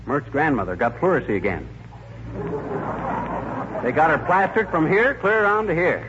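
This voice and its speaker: A man's voice: a little high, lively intonation, dull audio, lightly acted. A man playing a bumbling, wisecracking, folksy husband in 1940s radio comedy, on a noisy old recording. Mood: chatty. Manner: folksy, wisecracking, quick with wordplay.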